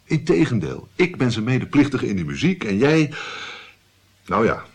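A sardonic court musician in a radio play. His mid-pitched voice moves about animatedly, full of knowing mockery and cynical wit. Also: sing-song, normal speed, slightly rough. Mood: wry, mocking. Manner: dry, mocking, knowing. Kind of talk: radio drama acting.

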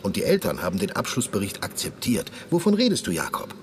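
A man's voice: lowish voice, wildly swooping pitch, fast talker. A man playing a blunt, exasperated but protective police detective in a radio drama, with emphatic, insistent delivery. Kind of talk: radio drama dialogue in a flashback. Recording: long reverb, faint ambient noise.

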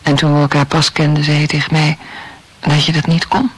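Casting: hurt, reproachful young female lover for radio drama, plaintive, breathy voice. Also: deep voice, little pitch movement, fairly quick, rough recording. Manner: plaintive, accusing. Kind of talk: intimate radio play dialogue.

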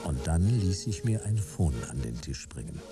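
A man playing a wry, hard-boiled private detective in a sci-fi radio drama, laconic and deadpan. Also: low voice, normal speed, over loud music. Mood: laconic narration. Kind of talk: radio drama narration and dialogue, noir detective.

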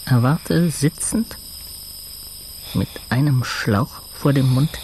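A man's voice: medium pitch, takes it slowly, darkish tone. An actor playing a distressed, stubborn man confined to intensive care, speaking in terse, repetitive phrases in a radio drama. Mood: grim, resolved. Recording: heavy noise and ambience behind voice.

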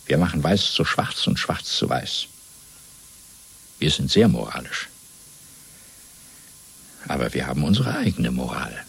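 A man playing a cold, fanatical Party interrogator in a radio drama, speaking in a measured, domineering, rhetorical way. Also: rumbling low, sing-song, vocal fry, husky, heavily acted. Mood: dismissive, assured. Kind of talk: German radio drama acting.

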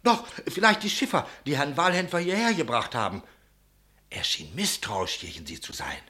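A man's voice: a little high, big pitch swings, moderate pace. A man playing a shaken innkeeper giving deferential testimony to an officer in a 1960s radio play. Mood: agitated. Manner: dramatic, hushed moments rising to loud exclamations. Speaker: actor.

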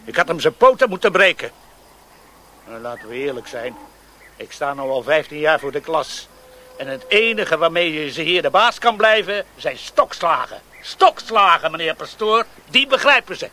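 An actor playing a bitter, hot-tempered village friar and schoolmaster, scornful and scolding, in a radio drama. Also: male voice, fairly high pitch, animated, normal speed, pushed and bright, a little hiss or hum. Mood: angry.

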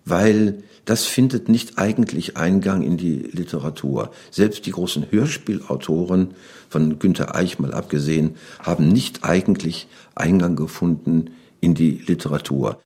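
A man's voice: low-pitched, unhurried, dark tone, slightly rough. A man giving spontaneous, analytical commentary as an interviewed expert.

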